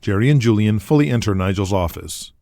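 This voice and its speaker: A man's voice: on the low side, lively intonation, fairly quick, gravelly, warm tone, no echo, studio quality. A man narrating an audio drama as its host, in a dramatic scripted storytelling style. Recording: music behind the voice. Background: music bed.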